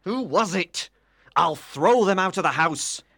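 Brooding, harsh master of the house, read by a man; bright, tense edge, a little higher. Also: animated, a little slow, no room sound, clean studio sound, exaggerated voice. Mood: harsh, shaken. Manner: harsh and commanding.

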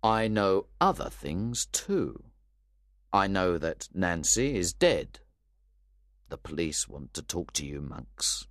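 A man reading a story aloud, voicing a composed, stern older gentleman who lays out accusations firmly. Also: low-pitched, sing-song, no echo, clean studio sound, full character voice. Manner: firm, measured and accusing.

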